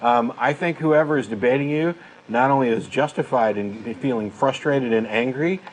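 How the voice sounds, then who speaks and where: A man's voice: mid-range voice, moderate pace, a little hiss or hum, low-quality recording. A man in his late thirties co-hosting a talk show, giving opinions in an emphatic, blunt, casual way. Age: late 30s.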